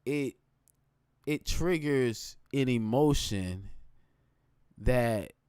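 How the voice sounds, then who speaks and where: A man with a deep, low voice talking informally in a casual, rambling livestream monologue, often checking in with his listeners. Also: animated, very slow, a little mellow, smooth, no echo, studio quality. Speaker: live streamer.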